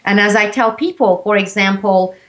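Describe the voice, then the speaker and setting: A woman's voice: medium pitch, normal speed, fairly bright tone, rough recording. A woman whose first language is Turkish, speaking as an interview guest and explaining in an earnest way with frequent hesitations. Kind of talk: interview conversation.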